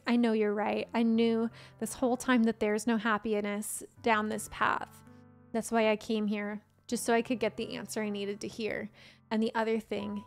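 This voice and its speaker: Hoarse woman reading a gentle, heartbroken teen girl from a game, soft and plain, a touch high. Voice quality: plain read-aloud delivery. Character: sweet teenage girl, quietly heartbroken.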